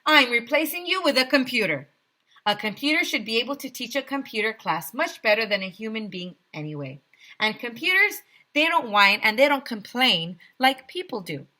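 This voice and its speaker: Bossy storybook mayor acted by a woman, male figure, domineering, declaiming, very animated, sharp forceful tone. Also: a little high, moderate pace, pitched above the performer's own voice. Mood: gleefully ruthless. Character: blustering, bossy, penny-pinching male town mayor. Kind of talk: children's storybook read-aloud.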